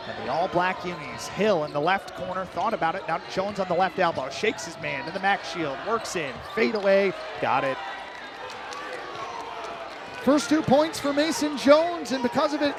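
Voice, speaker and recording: A male radio play-by-play announcer with a high-pitched voice calls a live basketball game. He describes the action in short, clipped, rapid-fire phrases, following the ball from spot to spot.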